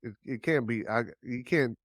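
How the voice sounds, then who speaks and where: A man's voice: mid-range voice, normal speed, no echo, studio quality. A man in a casual chat who agrees readily and thinks aloud about improving his podcast. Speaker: podcast host being audited. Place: Saigon.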